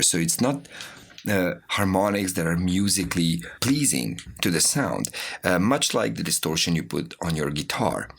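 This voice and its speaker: Deep, husky male tutorial voice. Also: fairly bright tone. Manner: explanatory, informal. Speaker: music production instructor. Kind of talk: spoken tutorial with software demonstrations.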